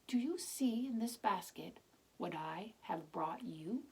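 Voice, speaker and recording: Woman reader as Motherly moon spirit for a storybook; gracious, unhurried, solemn and soothing. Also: medium pitch, expressive, creaky, mellow, slightly rough, exaggerated voice, poor audio. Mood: reassuring. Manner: slow, solemn, reassuring speech.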